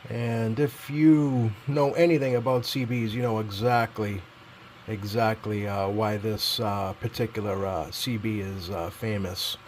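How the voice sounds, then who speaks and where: A man giving casual, unscripted commentary as a repair technician, pausing often with many ums as he goes. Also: mid-range voice, a little hiss or hum. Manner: halting, hesitant delivery heavy with ums and pauses. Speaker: repair technician presenting his bench work.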